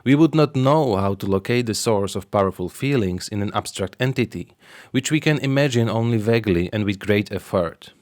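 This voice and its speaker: A man with a Slovak accent talks through a scripted explainer in English, his first language being Slovak. His voice sits on the low side and is recorded close and dry, with no room sound. He reads in a measured, careful way. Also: animated, darkish tone, no echo. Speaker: sociologist and marketer presenting his channel.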